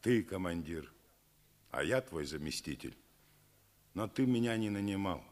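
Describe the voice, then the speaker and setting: An actor playing a steadfast, principled wartime commissar, speaking resolutely and deliberately in a 1950s Soviet radio drama. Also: male voice, low-pitched, animated, a little mellow.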